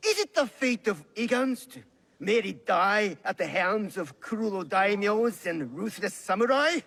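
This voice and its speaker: A bitter shinobi dissenter argues in a high, raspy voice that swings widely. He sounds agitated, in dramatic game style, with long hall reverb around him. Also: big pitch swings, measured pace, a bit bright, full character voice. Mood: bitter, pleading. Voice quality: raspy, creaky. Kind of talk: dramatic video game acting.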